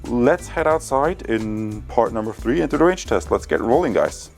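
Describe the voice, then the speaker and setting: A man's voice: mid-range voice, animated, normal speed, gravelly, soft background music. A man giving a casual product review, talking conversationally to his viewers as a tech reviewer. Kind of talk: casual product review and field test talk.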